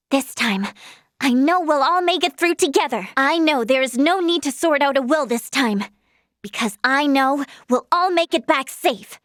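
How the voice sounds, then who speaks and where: Young female game healer, high pitch, earnest, polite, kind-hearted, softly hesitant, dry studio sound. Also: no room sound. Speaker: video game voice actress. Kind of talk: scripted game character dialogue.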